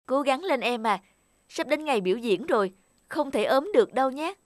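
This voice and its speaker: A woman voicing a kind, encouraging young puppet woman in a cartoon, speaking in a gentle, reassuring manner. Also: sits high, expressive, normal speed, no room sound, studio quality. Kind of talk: cartoon dubbing. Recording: loud music under the voice.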